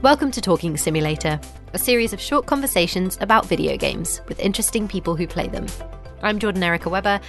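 A woman hosting a podcast, reading a scripted introduction in a clear, measured way and asking questions. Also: on the low side, expressive, a bit bright. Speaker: podcast host. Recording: faint music behind the voice.

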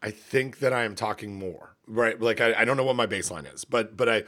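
A man with a gravelly, slightly hoarse voice, rambling and cutting himself off in a casual podcast chat. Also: on the low side, fairly quick, fairly bright tone. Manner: rambling, self-interrupting. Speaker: podcast co-host, university academic.

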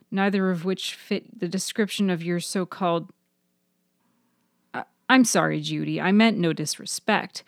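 A woman playing a weary, brisk female historian on a phone call in a fiction podcast, matter-of-fact and skeptical. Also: fairly low pitch, vocal fry, no room sound, clean studio sound. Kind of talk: acted dialogue in fiction podcast.

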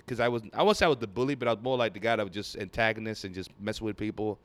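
Fast-talking man, dry sound. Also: fairly low pitch, dry recording. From Nigeria. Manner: rambling, animated storytelling with quoted voices. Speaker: comedian guest. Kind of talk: casual podcast conversation.

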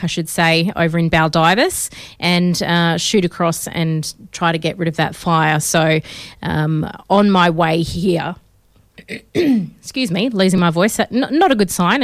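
A woman's voice: fairly low pitch, clean tone, no echo, clean studio sound. Chatty Australian woman radio host. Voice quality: slightly crackly voice. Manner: chatty, rambling, many fillers. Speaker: radio film show host. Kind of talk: casual radio chat. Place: radio studio. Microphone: studio mic.

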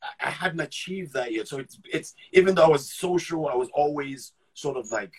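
Husky middle-aged guy from ghana, actor chatting in an interview, keeps stumbling and restarting mid-thought. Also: on the high side, lively intonation, darkish tone. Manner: halting, restarts and repeats words while thinking aloud. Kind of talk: casual conversational storytelling.

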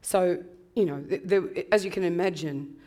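A woman in her fifties with a husky voice from laryngitis, reflecting thoughtfully as an interview guest with many ums. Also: lowish voice, normal speed, dark tone. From Australia. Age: 50s. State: hoarse from laryngitis. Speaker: guest author. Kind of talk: conversational interview.

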